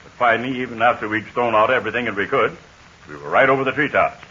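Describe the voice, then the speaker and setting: An actor playing a steady army major recounting a crash landing, in 1940s radio acting style. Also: male voice, medium pitch, fast talker, natural voice. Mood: earnest. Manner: hearty, earnest storyteller. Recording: muffled old radio recording with faint hiss.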